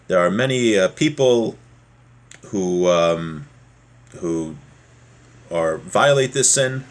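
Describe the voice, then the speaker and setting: A man's voice: deep voice, expressive, takes it slowly. A man giving an informal religious lesson, explaining a text in a plain-spoken, conversational way with asides. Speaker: religious teacher giving a class.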